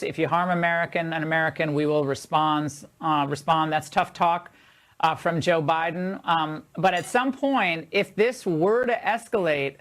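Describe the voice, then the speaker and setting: A woman working as a TV news anchor, reading a statement and asking measured questions. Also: fairly low pitch.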